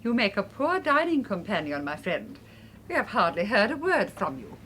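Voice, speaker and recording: An actress in a radio drama playing an elegant, teasing accomplice of a villain, formal and polite as a hostess. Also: female voice, medium pitch, big pitch swings, moderate pace, a bit bright. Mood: teasing, probing. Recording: faintly noisy radio drama recording.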